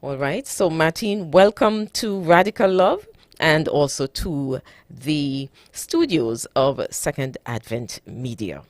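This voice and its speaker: A woman hosting a conversational radio interview, speaking haltingly and repeating her phrases. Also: low voice, lively intonation. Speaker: radio show host.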